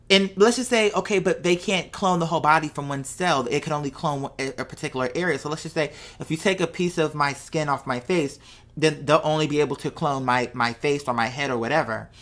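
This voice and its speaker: A woman with a fairly low voice talks off the cuff as a show host. She muses conversationally, posing rhetorical questions and checking that the listener follows along. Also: moderate pace. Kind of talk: casual unscripted monologue to followers.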